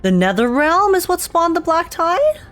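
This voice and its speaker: A woman streaming a video game, reacting to the story in casual, animated, expressive commentary and talking back to it. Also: extremely high pitch, normal speed. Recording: game music behind the voice. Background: video game music.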